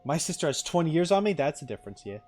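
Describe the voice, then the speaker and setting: A male streamer gives casual reaction commentary with a lively, mid-pitched voice. He jokes in a mock-exasperated way, with faint music behind him. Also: racing, husky. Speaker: streamer reacting to game voice lines.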